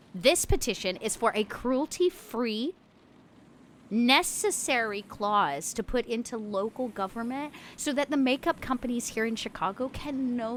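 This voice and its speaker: A woman's voice: on the high side, a bit bright, slight background noise, full character voice. A woman playing a bubbly, passionate animal-loving female vampire activist, earnest and cutesy, in a tabletop game. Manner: earnest, theatrically indignant, cutesy.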